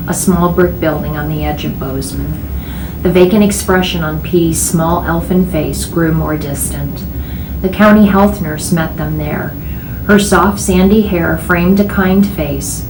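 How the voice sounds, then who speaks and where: Female storybook reader, low register, expressive narration, noisy echoing room recording. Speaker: reader reading a novel aloud. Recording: echoey room, clear background noise.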